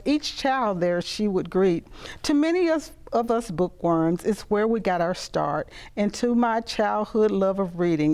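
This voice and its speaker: A woman's voice: mid-range voice, a little mellow. An older woman, a local poet, reminiscing and reciting her own verse.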